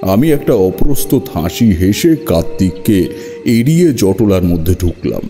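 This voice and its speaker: A man narrating a scripted first-person horror story in a measured, literary storytelling manner. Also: deep voice, very animated, mellow. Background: music.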